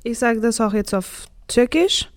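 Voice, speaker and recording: A woman's voice: fairly high pitch, animated, a little slow. An eighteen-year-old woman from Vienna, a guest on school radio, giving short plain answers. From Vienna, Austria. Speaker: interview guest.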